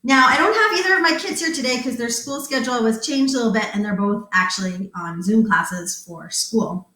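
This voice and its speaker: A woman's voice: on the high side, a little fast, bright, forceful tone, roomy sound, low-quality recording. A woman leading an interactive children's story time as a librarian, enthusiastic and encouraging as she talks to young children.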